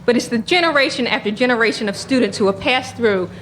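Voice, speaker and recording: A woman gives composed, prepared remarks in a fairly high voice. There is faint ambient noise in the recording. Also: fast talker, fairly bright tone, poor audio.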